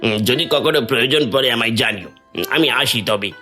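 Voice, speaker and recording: A man's voice: mid-range voice, a little fast, bright, forceful tone, soft background music, natural voice. A man playing a wealthy city fruit merchant in a cartoon story, coaxing, with little hums between phrases. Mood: humbled.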